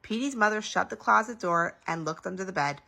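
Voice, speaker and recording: A woman reading a children's bedtime story aloud in an expressive, animated way, drawing out some words. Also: medium pitch, brisk, gravelly.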